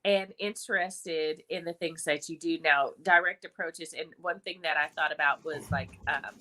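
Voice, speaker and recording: A woman's voice: low-pitched, steady tone, normal speed, bright, forceful tone. A woman chatting casually on a livestream with a slight head cold, telling stories and acting out remarks. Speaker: live stream panelist.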